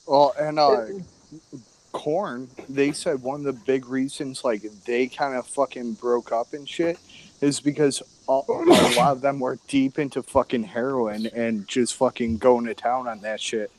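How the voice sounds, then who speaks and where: A man's voice: mid-range voice, fairly even intonation, unhurried, poor audio. A man in a casual podcast chat, laid-back and swearing constantly.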